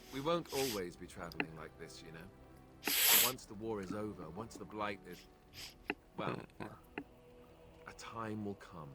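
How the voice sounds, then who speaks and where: Young fantasy game warrior, lowish voice, wry, self-deprecating, conversational, clear music behind. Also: male voice. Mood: warm, sincere. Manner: dry joking, conversational. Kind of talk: fantasy video game voice acting. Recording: game audio with prominent background music.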